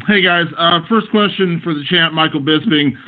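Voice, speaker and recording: Male journalist on a press call, high-set voice, thin telephone audio, conversational questioning. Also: measured pace. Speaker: sports journalist. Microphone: phone. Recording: tinny narrowband phone line.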